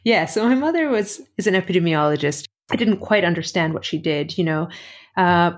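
A middle-aged woman with a slightly low voice and an American accent. She shares reflective personal stories as an interview guest, conversational and often rephrasing as she thinks aloud. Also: expressive, fairly quick. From Athens, Greece.

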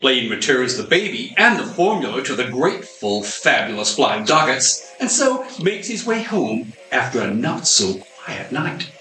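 A man's voice: mid-range voice, sing-song, measured pace, hardly any pauses, sharp tone, soft background music. A man narrating and announcing an amateur community radio play, recorded in an echoey room. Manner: storytelling, announcing.

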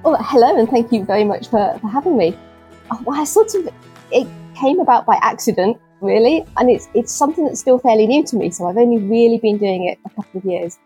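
A woman guest on a nature podcast, answering in a gentle, unhurried way with small restarts and soft laughs, sounding a little low on energy. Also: lively intonation, slightly rough, soft background music. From UK, not Scotland. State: chronically ill, speaks with low energy. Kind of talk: conversational interview answers.

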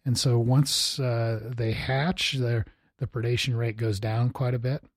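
A man's voice: mid-range voice, level tone, unhurried, no echo. Easygoing American man interviewing, dry close sound. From Missouri, United States. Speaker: interviewer, host. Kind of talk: casual interview.

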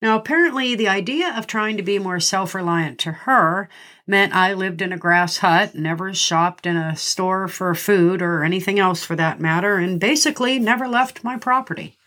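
A middle-aged woman hosting her podcast, a little nasal and congested from a cold, talking in a friendly way and reading out lists with pauses between the items. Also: medium pitch, fairly bright tone. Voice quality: slightly nasal. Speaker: podcast host. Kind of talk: scripted podcast monologue.

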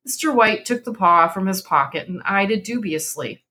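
A woman's voice: medium pitch, expressive, normal speed, bright, forceful tone. A woman narrating a classic horror story aloud in a dramatic, scripted reading style.

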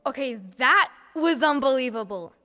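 A woman's voice: sits high, lively intonation, moderate pace, long reverb tail, music in the background. A woman voicing a bold, confident young girl with an upbeat, bossy way of talking.

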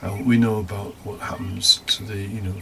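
A man's voice: lowish voice, little pitch movement, moderate pace, vocal fry, bright, forceful tone. A man preaching a homily as a deacon, reflective, partly scripted with informal asides and rhetorical questions. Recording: faint background noise.

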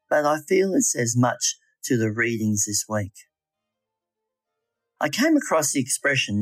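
A man delivers a faith reflection at a slow, deliberate pace. His mid-pitched voice is recorded close and dry, with music underneath. He sounds earnest and measured throughout. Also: no room sound. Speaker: lay reflection speaker. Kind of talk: scripted spiritual reflection. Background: background music.

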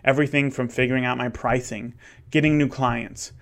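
A man's voice: mid-range voice, fairly even intonation, a little fast, a bit bright. A man of thirty, a wedding photographer, talking candidly in a rambling solo monologue with many restarts. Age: 30s. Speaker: wedding photographer and podcast host.

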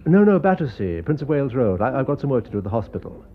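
An actor playing a coolly scheming English gentleman surgeon in a radio drama, confiding and deliberate. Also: medium pitch, sing-song, narrow, tinny audio. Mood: confiding, persuasive.

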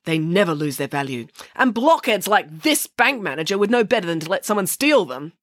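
A woman playing a bossy storybook pig nanny, full of indignation. She speaks emphatically and haughtily, with sweeping pitch and a dry sound. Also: sing-song, a little fast, no room sound. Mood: outraged, haughty.

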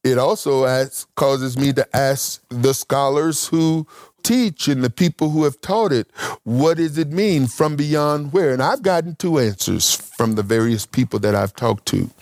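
A man's voice: medium pitch, a little slow, a little mellow, no echo, studio quality. A man teaching a congregation about the Bible, asking questions aloud and thinking through his answers in short broken phrases.

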